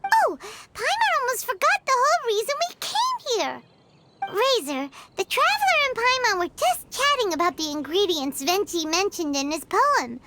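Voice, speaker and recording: Tiny fairy companion for a game: squeaky high, bubbly, very lively intonation. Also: female voice, moderate pace, noisy background. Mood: cheerful, caring. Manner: bubbly, refers to herself in third person. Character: tiny chirpy floating fairy companion girl. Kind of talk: video game voice acting.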